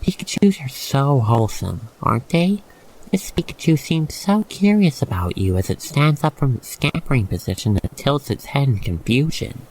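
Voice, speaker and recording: A man's voice: mid-range voice, lively intonation, moderate pace, a little mellow, slight background noise, rough recording. A man narrating an ASMR roleplay, telling the story theatrically and speaking directly to the listener.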